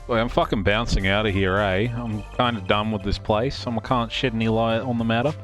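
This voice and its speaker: A man's voice: lowish voice, steady tone, brisk, a bit bright, faint music behind. A man casually joking through a live group dub of a game, swearing and giggling as he goes. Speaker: streamer live-dubbing a video game.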